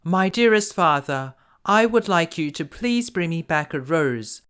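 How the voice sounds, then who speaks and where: A man voicing a sweet, cheerful young woman, a loving youngest daughter in a children's fairy tale, gently and affectionately. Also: fairly high pitch, expressive, a little slow, smooth, voice pushed up. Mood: loving, hopeful.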